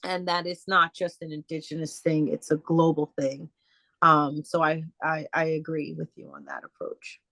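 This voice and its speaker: Low-voiced woman answering questions. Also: little pitch movement, pushed and bright, dry recording. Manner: halting, many fillers and restarts. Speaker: guest speaker. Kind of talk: casual Q&A answers.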